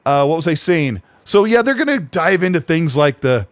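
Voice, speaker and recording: A man's voice: on the high side, sing-song, moderate pace, no room sound. A man co-hosting a casual gaming podcast, rambling with fillers and restarts. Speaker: podcast co-host.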